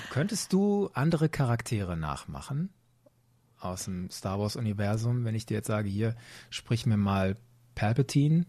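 Husky podcast host guy in his 40s, chatty and excited, laughs a lot, dry close sound. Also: on the low side, measured pace, no room sound. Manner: chatty and enthusiastic, laughs often. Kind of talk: casual interview chat.

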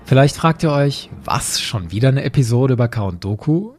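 A man's voice: medium pitch, lively intonation, moderate pace, darkish tone. A man hosting a podcast, explaining things to listeners in an emphatic, conversational and orderly way. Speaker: podcast host. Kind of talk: explanatory solo podcast narration, addressing listeners directly.

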